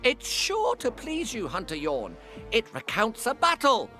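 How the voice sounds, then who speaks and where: An actor playing a pedantic, excitable scholar of clan lore in a fantasy video game, theatrical and teasing. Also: male voice, extremely high pitch, a little slow, faint music behind, exaggerated voice. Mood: eager, animated. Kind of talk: video game cutscene acting.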